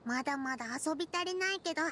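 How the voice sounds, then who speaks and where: A woman's voice: high voice, fast, a bit bright, slight background noise. A woman voicing a cheerful, innocent little girl in an anime game, talking childishly and calling herself by name. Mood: earnest. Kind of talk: Japanese anime game voice acting. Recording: music behind the voice.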